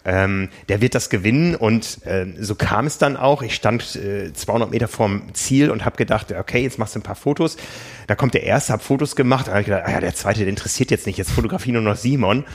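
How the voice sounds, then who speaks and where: A man with a fairly low voice talks through a relaxed podcast conversation. He speaks thoughtfully and often hesitates with fillers as he gathers his thoughts. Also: brisk, husky. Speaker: podcast host and magazine editor.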